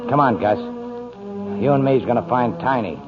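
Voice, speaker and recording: An actor playing a gruff, hungover, uneasy waterfront drifter, terse and slangy, in the manner of 1940s radio drama. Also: male voice, mid-range voice, moderate pace, over loud music, natural voice. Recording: dull, hissy 1940s radio recording.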